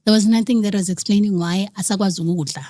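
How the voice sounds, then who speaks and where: A woman's voice: medium pitch, fairly even intonation, fast, dark tone. A young South African woman telling a personal story in an interview, earnest, slipping Zulu phrases into her English. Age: young adult. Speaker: guest.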